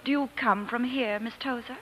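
A woman's voice: fairly high pitch, fairly even intonation, moderate pace, slight background noise, natural voice. An actress playing a genteel, snobbish young society woman in a 1940s radio drama, coolly polite and condescending. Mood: icily polite. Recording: vintage 1940s radio broadcast.

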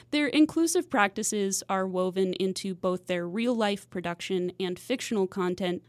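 A woman's voice: medium pitch, darkish tone, no room sound. A woman hosting a podcast, delivering scripted narration in a studio. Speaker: podcast host and producer.

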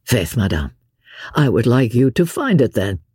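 A devil-may-care young English lord from a storybook, as an audiobook reader acts him out. He is hearty and carefree, with a bouncing intonation and a slightly husky tone. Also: mid-range voice, big pitch swings, normal speed, a little mellow, no room sound, clean studio sound, pitched below the performer's own voice. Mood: jovial.

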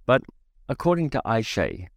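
A middle-aged man from Australia, reading a scripted podcast introduction in a reflective, measured way. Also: medium pitch, wildly swooping pitch, vocal fry, dry recording, clean studio sound. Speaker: podcast host.

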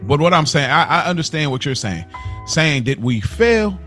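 Male podcast co-host, mid-pitched and very lively, slangy rebuttals, music underneath. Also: moderate pace. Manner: animated, slangy, rebuts with repeated no's. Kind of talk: casual sports debate.

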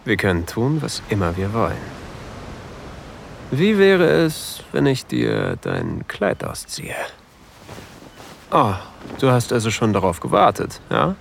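An actor playing a possessive new lover in an intimate erotic audio drama, murmuring breathily and often whispering close to the microphone. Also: male voice, on the low side, huge pitch swings, slow, vocal fry, pauses often, a little hiss or hum, heavily acted. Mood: tender, seductive. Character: possessive, seductive new lover, adult man, on a sailboat. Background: faint sea ambience.

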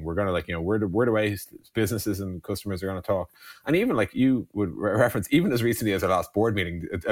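Fast-talking Irish man with a low voice. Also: no room sound, studio quality. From Ireland. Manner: digressive, peppered with "like" and "you know". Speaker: startup co-founder being interviewed. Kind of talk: casual conversational storytelling.

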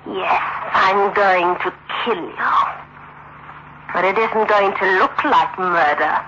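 Cold murderous woman, taunting, extremely slow, 1960s radio drama, dull sound, clear background noise. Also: mid-range voice, pushed and bright. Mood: cold menace. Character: cold, gloating murderous woman, calmly menacing. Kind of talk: 1960s radio suspense acting. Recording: dull, very noisy old radio recording.

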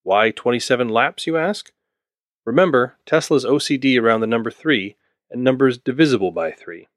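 A man's voice: mid-range voice, animated, normal speed, dry recording, clean studio sound. A man from Ontario narrating a scripted history podcast, with wry asides to the listener. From Ontario, Canada. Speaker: podcast host.

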